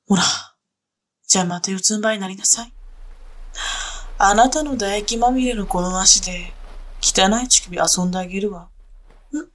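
A woman's voice: mid-range voice, close to an everyday voice. A woman playing a haughty, contemptuous young dominatrix who speaks in a bossy, scornful voice as she gives commands in an adult audio drama.

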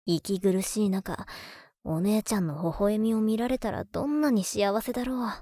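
A woman voicing a clingy, teasing younger brother who dotes on an older sister, speaking softly and intimately in an audio drama. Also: mid-range voice, normal speed, dry recording, natural voice. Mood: playful, longing. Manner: soft, intimate, coaxing, sometimes dropping to whispers. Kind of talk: intimate Japanese audio drama, murmured monologue to a sleeping listener.

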